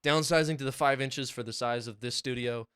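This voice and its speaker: Young male podcast co-host, chatty and excitable, swears freely, clean dry mic. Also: mid-range voice, fairly quick, smooth, no echo. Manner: excited, swearing, rambling storytelling. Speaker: podcast co-host and music producer. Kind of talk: casual chat. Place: small home studio.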